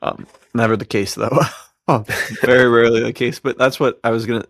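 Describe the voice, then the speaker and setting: A man's voice: medium pitch, steady tone, a little fast, fairly bright tone, no echo. A man chatting casually as a podcast co-host, with a slangy, self-deprecating way of talking. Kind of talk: casual chat.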